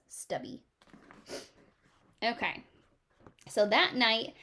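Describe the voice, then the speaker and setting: A woman reading aloud to children as a teacher, expressive, pausing to ask questions and explain things simply. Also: mid-range voice, gravelly.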